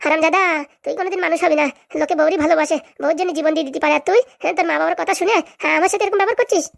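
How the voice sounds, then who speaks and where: Casting: woman to play brash young cartoon wife, very high voice, rapid-fire scornful arguing, rural Bengali accent. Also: creaky. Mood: demanding, angry. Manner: argumentative, scornful. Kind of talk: cartoon family drama dialogue.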